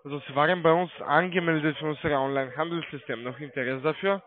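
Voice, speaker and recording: A man's voice: a little high, steady tone, quick pace. A man with a foreign accent, a non-native speaker, mumbling his way through a sales call. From Russia. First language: not German. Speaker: call-centre caller. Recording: tinny phone line.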